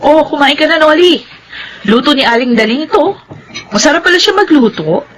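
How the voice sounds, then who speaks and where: A frank young Filipina companion in a radio drama. Her voice is high, and she speaks in a teasing way. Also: animated, fairly quick, low-quality recording. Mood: cheerful.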